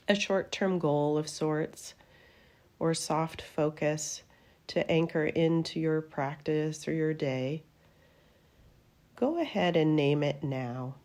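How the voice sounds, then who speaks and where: A woman teaching a guided meditation in a gentle, soothing manner, her phrases trailing off softly at the ends. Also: deep voice. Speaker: yoga nidra meditation teacher.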